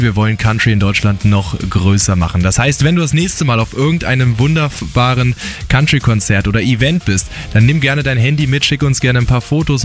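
A man hosting a radio show, lively and enthusiastic in a promotional way. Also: mid-range voice. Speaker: radio host. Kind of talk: upbeat radio host chat.

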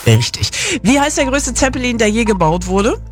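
Female radio presenter for a quiz segment: animated, informal, encouraging, with a music bed underneath. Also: on the high side, normal speed. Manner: informal, encouraging, frequent short interjections. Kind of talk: chatty live radio quiz moderation. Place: radio studio.

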